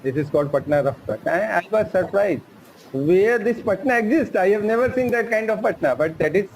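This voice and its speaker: A novelist from Bihar, a Bhojpuri speaker, giving an earnest, hesitant panel answer full of fillers. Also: male voice, sits high, animated, brisk, slight background noise, rough recording. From Bihar, India.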